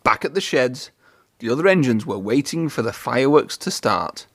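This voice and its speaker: A man reading a children's story aloud in an expressive narrating style. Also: medium pitch, normal speed, slightly rough.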